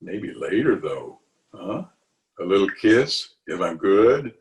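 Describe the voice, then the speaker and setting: A pompous, flirtatious middle-aged town official from a 1940s-style radio comedy, acted by a man. The delivery is theatrical, unhurried and full of swooping pitch. It comes through a roomy, echoing video-call recording. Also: big pitch swings, heavily acted. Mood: coaxing, romantic. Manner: blustering, theatrical, wheedling.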